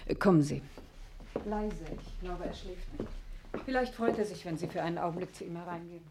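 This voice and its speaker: An actress playing an agitated, indignant nurse in a 1950s radio play, speaking with urgent emotion. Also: female voice, on the low side, fairly quick, noisy background, lightly acted. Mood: flustered, hushed. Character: agitated, indignant female nurse shocked by deportations.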